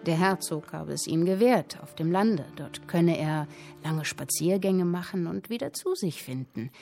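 An actress playing a woman who tells a literary tale in a radio play, with measured, dramatic, deliberate phrasing. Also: on the low side, expressive, vocal fry, darkish tone, soft background music. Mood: measured, wary. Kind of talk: literary radio play storytelling in present tense.

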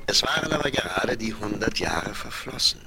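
An actor as an expressive, dramatic narrator of a 1970s German fairy-tale audio drama. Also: male voice, fairly low pitch, moderate pace, over loud music. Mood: measured storytelling. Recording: faintly noisy vinyl record.

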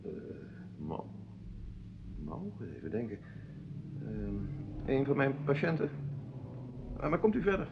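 An actor playing an absent-minded, irritable doctor and husband in a radio play, hesitant and blustering in manner. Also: male voice, mid-range voice, wildly swooping pitch, a little slow, vocal fry, long pauses, noisy background, full character voice. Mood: hesitant, puzzled. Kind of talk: Dutch radio play dialogue. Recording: dull lo-fi radio play recording.

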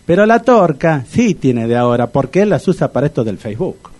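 A naive old argentine bachelor, stammers and rambles, very lively swoopy pitch, radio comedy. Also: male voice, brisk. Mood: fussy, naive. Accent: Rioplatense Argentine. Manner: stammering, rambling, repeats himself. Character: bumbling, chatty, naive older bachelor looking for love. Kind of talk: radio comedy sketch acting.

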